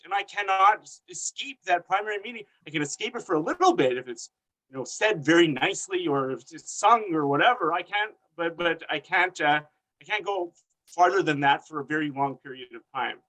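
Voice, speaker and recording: Bright-voiced man. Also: high voice, animated, moderate pace, rough recording. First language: Hebrew. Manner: hesitant, literal-minded, repeats words. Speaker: panelist, liturgy writer. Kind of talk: reflective webinar remarks. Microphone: video call.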